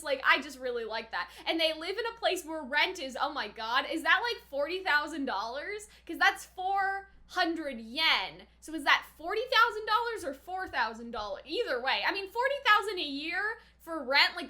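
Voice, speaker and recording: A young woman giving casual, excitable reaction commentary as a YouTuber, rambling and giggly, drifting into tangents. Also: very high-pitched. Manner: giggly, rambling, animated, filler-heavy tangents. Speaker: YouTuber reacting to an anime episode.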